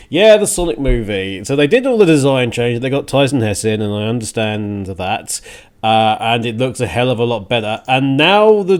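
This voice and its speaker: A man hosting a music radio show and podcast solo, talking casually in a halting, rambling, conversational way with a bit of a rant to it. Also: medium pitch. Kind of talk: casual solo podcast talk, partly ranting.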